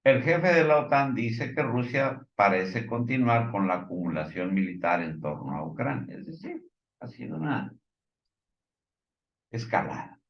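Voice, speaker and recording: A man with a Mexican accent giving informal news commentary, partly reading from reports, in a halting, hesitant way with fillers and stumbles. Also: mid-range voice, big pitch swings, reverberant, lo-fi sound.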